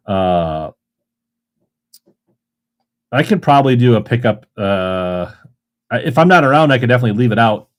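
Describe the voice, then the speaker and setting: A man hosting a casual livestream auction, chatting in a rambling way and reading viewer comments aloud. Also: mid-range voice. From Minnesota. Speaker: live auction seller.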